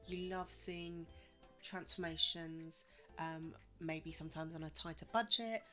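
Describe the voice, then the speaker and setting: A woman talks through her solo podcast in a lowish, slightly wheezy voice, still getting over a cold. She's chatty and reassuring, with plenty of ums. Faint music sits under her voice. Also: a little slow, bright, forceful tone. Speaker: podcast host.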